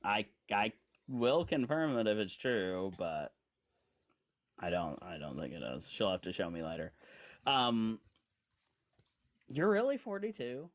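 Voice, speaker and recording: A man's voice: fairly low pitch, wildly swooping pitch, unhurried, long pauses. A man in his forties from Indiana, hosting a casual online talk show and rambling through his points with lots of fillers and teasing asides. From Indiana, USA. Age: 40s. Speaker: podcast host. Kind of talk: casual live-stream chat.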